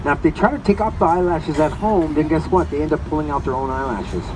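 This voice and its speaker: A man with a loud voice giving a live guided tour, chatting to the group and addressing them directly. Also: a little high, quick pace. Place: outdoors on a moving tour vehicle. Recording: distant, muffled mic with loud wind noise.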